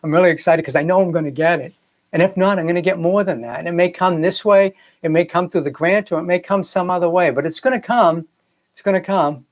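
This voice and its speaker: A man's voice: high-pitched, fast. Earnest Boston man, rambling motivational talk. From Boston area, United States. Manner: earnest, rambling, repeats phrases for emphasis. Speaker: spiritual coach hosting a livestream. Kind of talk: casual motivational livestream talk.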